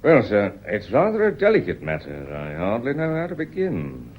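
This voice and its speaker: An actor playing a mild, deferential but quietly persistent British caller in a radio drama, polite and hesitant. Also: male voice, on the low side, huge pitch swings, moderate pace. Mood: delicate. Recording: dull, noisy old radio recording.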